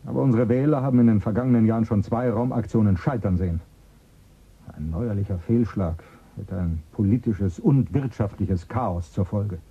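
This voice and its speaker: An actor playing a calculating male politician with a husky voice in a radio play, speaking in a measured, persuasive way. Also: fairly low pitch. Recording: phone-like thin sound.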